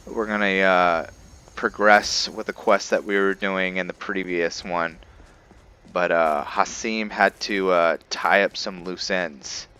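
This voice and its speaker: A man giving casual, somewhat halting commentary over gameplay as the host of a gaming video. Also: on the low side, a bit bright, smooth.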